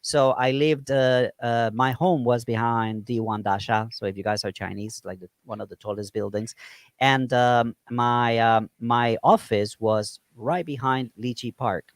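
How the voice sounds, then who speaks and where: A man from Colombia hosting a casual group chat on a video call, relaxed and chatty with many pauses and laughs. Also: mid-range voice, dry recording. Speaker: live stream host.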